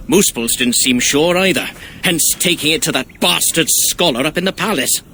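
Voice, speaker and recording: A man's voice: fairly high pitch, moderate pace, bright, forceful tone, a little hiss or hum. A man voicing a cheeky, plain-spoken dwarf in a video game, chatty and wry with colloquial cursing. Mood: wry, bitter. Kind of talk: cinematic video game acting.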